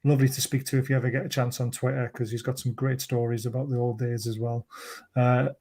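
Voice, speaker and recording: A man's voice: mid-range voice, flat delivery, fast, darkish tone. Host, young guy, self-deprecating and jokey, reads viewer comments. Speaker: livestream host. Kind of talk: casual livestream chat reading comments.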